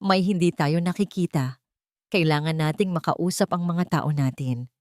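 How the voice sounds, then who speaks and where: A woman's voice: on the low side, moderate pace, a little mellow, no room sound, studio quality, lightly acted. A woman voicing an evasive, panicky businessman who tries to stay in control, curt and defensive, in an audiobook drama. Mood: strained control.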